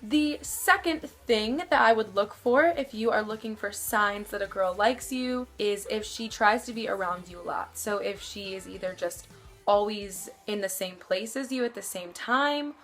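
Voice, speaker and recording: A young woman with a somewhat deep voice, giving casual advice to her camera and rambling with lots of fillers. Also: moderate pace, a bit bright, faint music behind. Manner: chatty, rambling, many fillers. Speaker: vlogger.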